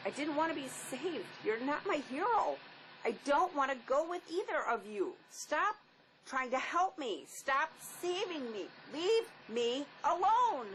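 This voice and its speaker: A woman's voice: high-pitched, sing-song, a little slow, a bit bright, full character voice, low-quality recording. An actress playing a wounded, despairing woman drifter in a drama, emotional, by turns pleading and defiant. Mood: anguished, defiant. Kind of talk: dramatic script performance. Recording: faint background noise.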